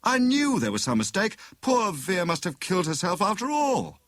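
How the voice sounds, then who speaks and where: A man voicing a titled gentleman, courteous and formal, a guarded villain in a mystery story. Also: sits high, wildly swooping pitch, moderate pace, dry recording, studio quality, pitched above the performer's own voice, exaggerated voice. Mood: relieved.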